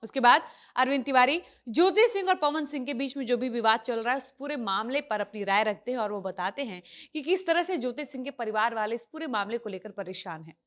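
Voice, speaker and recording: A woman's voice: a little high, expressive, fairly quick. A woman presenting an online news report, explaining the story as she retells it.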